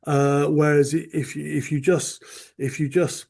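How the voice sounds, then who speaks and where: A man speaking as a guest on a podcast, thoughtful and measured, leaning on "you know" as he talks. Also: fairly high pitch, flat delivery, gravelly, darkish tone, husky. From United Kingdom. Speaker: magazine owner, podcast guest. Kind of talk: casual interview chat.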